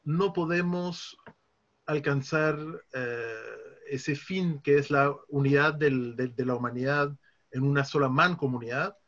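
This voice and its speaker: Man from France explaining calmly. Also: mid-range voice, unhurried. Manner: calm, explanatory, with frequent hesitations. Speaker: guest, conference interpreter. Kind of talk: casual podcast conversation. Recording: dull lo-fi recording.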